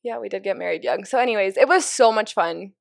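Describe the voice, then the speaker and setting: A woman's voice: medium pitch, fast, gravelly, dry recording, clean studio sound. A young woman co-hosting a podcast, chatting casually from a living room and laughing often. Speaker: podcast co-host. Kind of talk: casual chat. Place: home living room by a fireplace.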